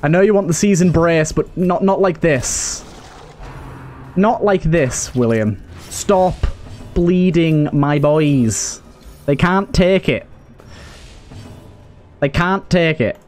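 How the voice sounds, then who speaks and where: A man's voice: on the high side, slow pace, pauses often, darkish tone, faint music behind. A man giving casual let's play commentary, pleading with and exclaiming at the game characters as he talks. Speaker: gaming video host and player.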